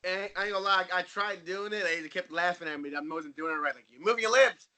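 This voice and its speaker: A man with a high-pitched, bright voice talks casually as a podcast co-host. He rambles along in a self-deprecating manner. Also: level tone, quick pace, clear, resonant tone. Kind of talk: casual chat.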